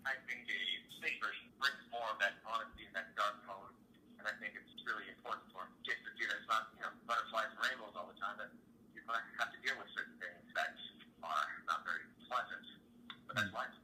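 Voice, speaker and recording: Brief: male theatre actor, thirties, French first language, quick and bright, a bit off-mic with faint hiss. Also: fairly low pitch, distant mic, low-quality recording. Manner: enthusiastic, quick, repeats words. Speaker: musical theatre actor, guest. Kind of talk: interview answers.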